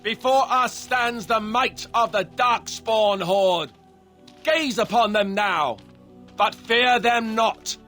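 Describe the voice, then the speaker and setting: A young game hero, kinda high bright voice, sarcastic but earnest, awkward reluctant leader. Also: male voice, slow, soft background music, exaggerated voice. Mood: rousing, solemn. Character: young male warrior, awkward, sardonic, reluctant heir turned rallying leader. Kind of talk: video game dialogue acting.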